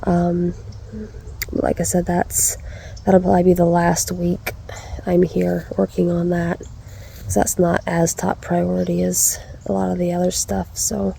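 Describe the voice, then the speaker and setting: A woman's voice: fairly low pitch, monotone, measured pace, vocal fry, mellow, slight background noise, rough recording. A woman giving a casual vlog update, stuffy and congested, chatty with lots of ums and restarts. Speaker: vlogger.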